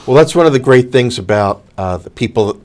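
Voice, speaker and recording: A man in his fifties working in public relations, co-hosting a talk show in a TV studio. He speaks in a measured way and tells his stories step by step. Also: medium pitch, expressive, darkish tone. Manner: measured, storytelling. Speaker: public relations professional, co-host. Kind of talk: casual talk-show conversation.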